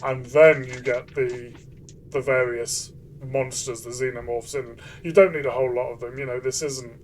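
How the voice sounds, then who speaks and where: Man, mid-pitched voice, off-mic, background noise, hesitant conversational game review. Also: steady tone, moderate pace, recorded from a distance. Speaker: co-host of a game review show. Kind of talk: casual discussion, tabletop game review.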